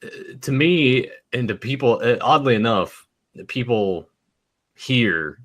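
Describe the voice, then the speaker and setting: A man's voice: mid-range voice, lively intonation, measured pace. A man with a Southern American accent, co-hosting a podcast in a rambling casual chat full of restarts. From Southern United States. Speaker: podcast co-host.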